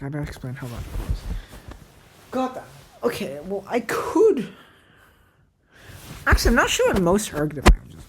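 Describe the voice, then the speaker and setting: A woman's voice: deep voice, heavy background noise. A woman whose first language is Cantonese, thinking aloud at her computer as she plans a made-up language, rambling and questioning herself with many fillers. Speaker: language creator. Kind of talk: thinking aloud while screen-recording.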